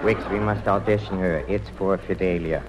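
An actor playing a theatrical, darkly sardonic talent auditioner in an old radio comedy. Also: male voice, sits low, fairly even intonation, moderate pace, highs cut off, lightly acted. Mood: insistent. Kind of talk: 1950s radio comedy acting. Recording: very noisy old radio recording.

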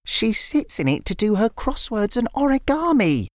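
A woman voicing a young girl who thinks fast and talks excitedly. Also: fairly high pitch, no echo, studio quality, voice pushed up. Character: quick-thinking little girl.

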